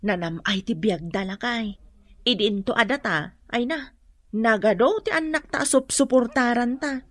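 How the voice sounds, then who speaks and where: A woman's voice: fairly high pitch, unhurried, dry recording, studio quality. An actress playing a poor, long-suffering village wife and mother in an Ilocano radio comedy drama, plaintive and sighing yet fond. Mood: fond, resigned.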